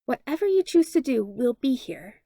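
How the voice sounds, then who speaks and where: Lady reading as a soft-spoken caring mom, kinda high voice, dry close sound. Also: sing-song, moderate pace, dry recording, heavily acted. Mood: firm, reassuring. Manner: gentle, soothing. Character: warm protective mother, adult woman.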